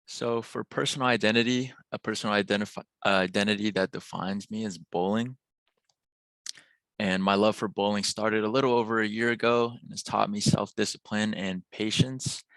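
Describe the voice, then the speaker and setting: A young man reading his class presentation in a steady manner. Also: on the low side, normal speed, gravelly, dry recording. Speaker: student presenter.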